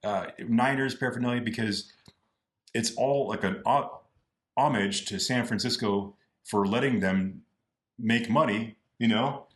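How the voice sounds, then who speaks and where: A man's voice: mid-range voice, a little slow, reverberant. A man talking as a political candidate on a podcast, earnest and repeating his points for emphasis. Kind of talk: podcast discussion.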